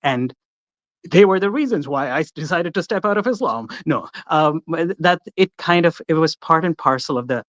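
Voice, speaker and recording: A man's voice: fairly high pitch, lively intonation, moderate pace, a bit bright, dry recording. A man calling in to a live stream, telling his story in a rambling way with many restarts. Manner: rambling, lots of restarts and fillers. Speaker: caller. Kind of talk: casual call-in, personal story. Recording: dull, muffled call audio.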